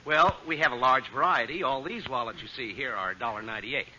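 A man's voice: medium pitch, brisk, sharp tone. A man playing a polite, deferential department store clerk in a 1940s radio comedy. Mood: obliging. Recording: dull, hissy old radio recording.

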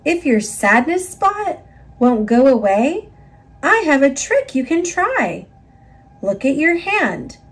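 A woman narrating a children's picture book in an expressive, instructive read-aloud style for young listeners. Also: high-pitched, takes it slowly.

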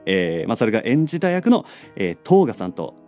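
A man's voice: fairly low pitch, big pitch swings, brisk, a little mellow, faint music behind. A man who hosts a channel and voice acts, chatting casually with his co-host. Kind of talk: casual excited chat.

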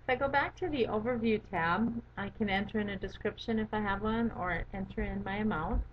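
A woman giving a methodical, step-by-step software walkthrough as an instructor. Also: medium pitch, brisk. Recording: dull lo-fi audio with background noise.

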